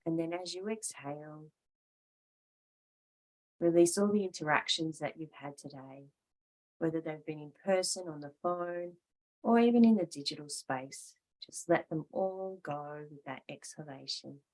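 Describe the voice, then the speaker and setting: A woman leads a yoga and meditation class in a fairly low voice. She speaks very slowly and calmly, in short measured phrases with room left between them as she gives each instruction. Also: poor audio. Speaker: yoga teacher.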